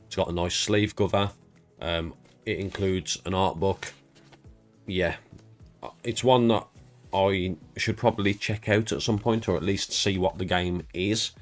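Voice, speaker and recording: A man with a low voice talks in a laid-back, rambling way, his voice audibly stuffed up and congested. He sounds easygoing and a little self-deprecating. Soft music plays faintly underneath. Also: a little slow. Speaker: YouTube creator. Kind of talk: casual game collection walkthrough.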